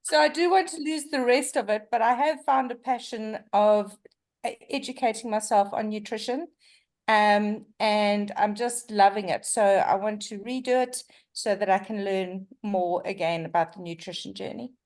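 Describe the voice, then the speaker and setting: A woman's voice: medium pitch, moderate pace. A woman, chatting cheerfully on a video call. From South Africa. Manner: cheerful, excited.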